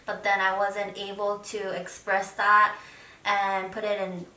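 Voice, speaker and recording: A woman's voice: medium pitch, completely flat delivery, a little slow, bright, forceful tone. A young woman from Hong Kong, a native Japanese speaker, answering casually and a little hesitantly as a student guest. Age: young adult. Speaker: guest, international film student. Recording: echoey room, distant microphone.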